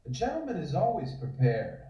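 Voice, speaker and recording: A man playing a proud, gentlemanly fellow who angers quickly, at an online script table read. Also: fairly high pitch, wildly swooping pitch, a little hiss or hum. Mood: pompous, assured. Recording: muffled, echoey room, distant mic.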